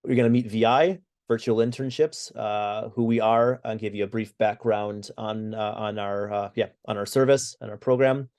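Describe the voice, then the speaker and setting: American guy hosting a webinar on a video call, lowish voice, mellow tone, lots of uhs. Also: fairly even intonation, moderate pace, hardly any pauses. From United States. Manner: frequent fillers and restarts. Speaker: webinar co-host. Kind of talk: presenting a webinar.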